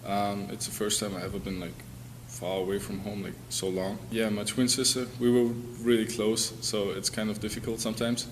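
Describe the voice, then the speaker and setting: Male student athlete, twenties, German accent, low-leaning, little pitch movement, casual. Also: little pitch movement, normal speed, hardly any pauses, in a large hall, slight background noise. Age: 20s. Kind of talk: casual interview answers.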